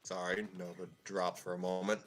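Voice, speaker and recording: A man's voice: low voice, little pitch movement, normal speed, soft background music. A man narrating a fan script casually, informally breaking off to make side comments. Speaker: narrator and reader.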